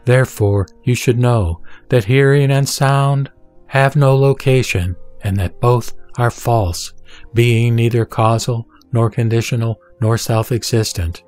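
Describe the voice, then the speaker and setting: A man's voice: mid-range voice, steady tone, measured pace, darkish tone. Man reciting scripture over music. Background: background music.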